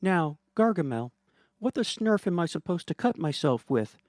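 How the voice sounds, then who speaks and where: A man's voice: big pitch swings, normal speed, darkish tone, no room sound, studio quality. A man voicing a tiny, plucky, defiant dad who has been shrunk into a small creature, in a comic horror story. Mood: reassuring. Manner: high-pitched, defiant.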